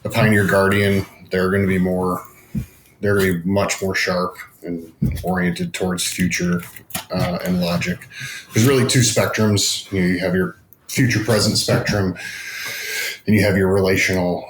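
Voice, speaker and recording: A man's voice: low-pitched, flat delivery, moderate pace. A man on a podcast panel, explaining casually in a roomy recording. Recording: roomy echo with faint noise.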